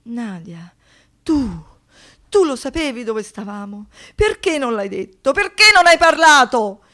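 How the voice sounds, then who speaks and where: A woman's voice: on the high side, big pitch swings, a little slow, exaggerated voice. An actress playing a traumatised young woman who survived a brutal assault, in a poetic, declamatory radio monologue, anguished and defiant. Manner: dramatic, swinging between hushed reflection and impassioned outbursts.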